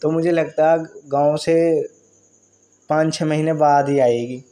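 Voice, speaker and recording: A man's voice: a little high, fairly even intonation, a little slow, dark tone, close to an everyday voice. A man playing a flirtatious married man in a casual staged phone chat, teasing with sly double meanings. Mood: confiding.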